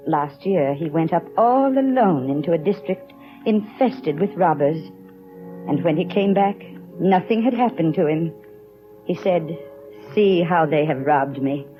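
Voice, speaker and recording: A woman acting a bishop's gentle, loyal sister in a 1930s radio drama, composed, formal and devout. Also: on the low side, expressive, a little slow, faint music behind. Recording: muffled old radio broadcast.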